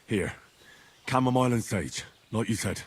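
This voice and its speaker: An actor playing an earnest, polite young commoner hero in a medieval game. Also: male voice, on the low side, expressive, moderate pace, breathy edge.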